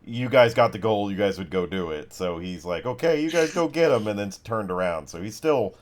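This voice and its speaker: Male game master, low voice, informal and rambling, improvised tabletop narration. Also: lively intonation, a little fast.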